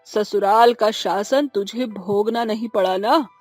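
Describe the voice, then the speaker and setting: A woman voicing a stern, weary older mother-in-law with a husky voice in a cartoon drama. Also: medium pitch, takes it slowly, a little mellow, faint music behind. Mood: scolding. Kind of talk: Hindi cartoon drama acting.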